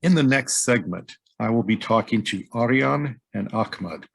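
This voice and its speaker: A middle-aged man hosting a podcast, reading a scripted intro and then speaking haltingly with many ums and uhs. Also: medium pitch, expressive, creaky, breathy edge, dry recording. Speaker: podcast host. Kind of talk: scripted intro, then hesitant interview talk.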